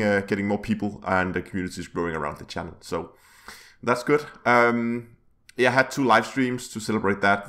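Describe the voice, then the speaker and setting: A man hosting his gaming channel's weekly news vlog, his voice a little rough, rambling with many fillers and restarts. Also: low voice, a bit bright. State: slightly rough voice.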